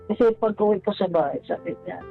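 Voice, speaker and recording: A man phoning a radio advice show, telling a personal story haltingly, stumbling over and repeating words. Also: high-pitched, gravelly. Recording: dull lo-fi call, music behind. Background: background music.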